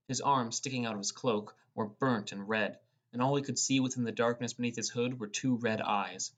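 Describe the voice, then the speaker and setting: A man reading first-person fantasy narration as an audiobook narrator. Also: medium pitch. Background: music.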